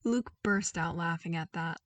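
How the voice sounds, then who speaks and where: A woman's voice: fairly low pitch, a little slow, no echo. Woman narrating, dry and slightly distant. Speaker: podfic narrator. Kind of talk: scripted story narration.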